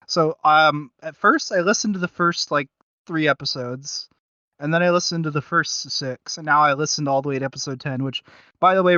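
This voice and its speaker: A man's voice: fairly high pitch, pushed and bright, no room sound, muffled recording. A man co-hosting a casual review podcast, rambling and hesitant as he searches for his words. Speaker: podcast co-host reviewing audio series.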